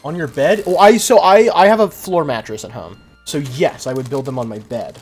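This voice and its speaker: A man's voice: fairly high pitch, normal speed, a little mellow, slightly rough, faint music behind. A young adult man livestreaming in a casual, slangy, profane and self-deprecating way while he chats with viewers. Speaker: livestreamer, host.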